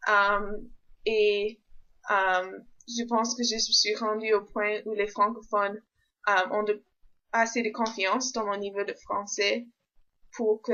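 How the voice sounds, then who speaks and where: A young woman whose first language is English chats in a casual vlog. She talks slowly and hesitantly, often pausing on fillers while reaching for the right word. The recording sounds a little dull and lo-fi. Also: medium pitch, pushed and bright. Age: young adult. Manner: hesitant, frequent "euh" fillers, searches for words. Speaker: university student vlogger.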